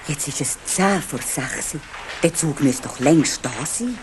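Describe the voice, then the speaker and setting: Fretful old grandmother, Swiss German, low voice with animated melody, admonishing, noisy station radio play. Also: measured pace. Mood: worried. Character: worried, scolding old grandmother.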